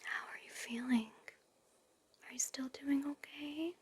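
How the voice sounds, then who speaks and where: A woman playing a gentle, attentive nurse in an ASMR roleplay, speaking in a breathy, reassuring whisper. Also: high-pitched, steady tone. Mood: gentle, soothing. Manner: slow, soothing, reassuring. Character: gentle, soothing, attentive female recovery nurse. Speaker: ASMR performer.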